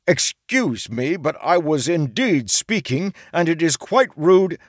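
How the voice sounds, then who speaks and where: A pompous merchant in a fantasy audiobook, his voice pitched high and swooping. He speaks slowly and grandly, quick to indignation, savouring his own importance. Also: big pitch swings, dry recording, studio quality, exaggerated voice. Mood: indignant. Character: pompous, fat, easily outraged male slave merchant. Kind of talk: audiobook character acting.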